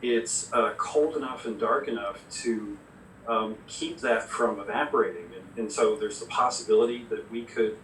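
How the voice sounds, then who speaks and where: A man explaining science as a specialist guest in a live interview, in a measured, hesitant way. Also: lowish voice, fairly bright tone. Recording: echoey, distant mic, faint noise.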